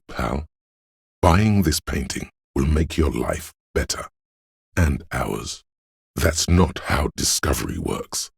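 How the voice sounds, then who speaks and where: A man voicing a slick, greedy, cocky hustler in a video game, with smug, goading salesman patter in a gravelly, husky voice. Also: rumbling low, no echo, studio quality, exaggerated voice. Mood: impatient, goading. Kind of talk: video game character voice acting.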